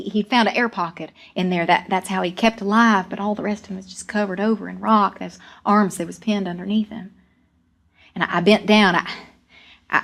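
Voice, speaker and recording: Woman as young coal miner, dramatic monologue, medium female pitch, folksy rural phrasing, earnest, halting, repeated words. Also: a bit bright, lightly acted. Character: young coal miner recalling a deadly cave-in, plainspoken, earnest. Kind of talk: dramatic first-person storytelling monologue.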